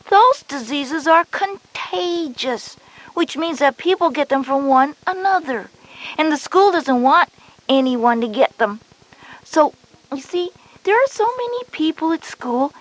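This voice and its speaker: A woman's voice: sits high, very animated, a little slow, a bit bright, heavily acted, low-quality recording. A woman voicing a reassuring schoolgirl older sister who patiently explains things in an educational story tape. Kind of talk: scripted educational audio drama.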